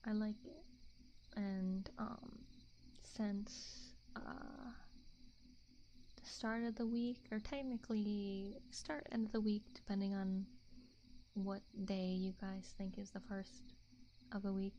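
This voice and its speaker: A soft-spoken woman hosting an ASMR livestream, chatting casually and gently, often slipping into whispers, close to the microphone. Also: medium pitch, steady tone, takes it slowly, pauses often, lo-fi sound, a little hiss or hum. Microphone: close binaural ASMR microphone.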